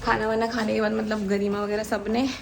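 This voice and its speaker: A woman in her thirties with a hoarse throat, chatting casually to her viewers in a family vlog. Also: mid-range voice, little pitch movement, brisk, very noisy. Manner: chatty, talks to viewers directly. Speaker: vlogger.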